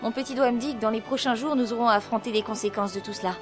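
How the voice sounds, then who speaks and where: A woman's voice: fairly high pitch, rapid-fire, music in the background, lightly acted. A woman playing a brave, affectionate young heroine and sister in an audio drama. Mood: reflective. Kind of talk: audio drama acting and narration.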